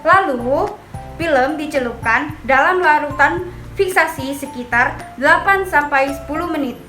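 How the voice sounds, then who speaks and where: A woman's voice: high voice, a little slow, bright, forceful tone. A young woman from Indonesia gives a formal, recited student presentation, narrating a scripted lesson. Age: young adult. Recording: echoey room, distant mic, music behind. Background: music.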